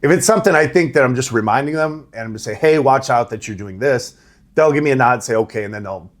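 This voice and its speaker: Big-voiced middle-aged rowing coach. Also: male voice, mid-range voice, expressive, fast. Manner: conversational, laughs easily, says right? and you know. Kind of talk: casual interview answers.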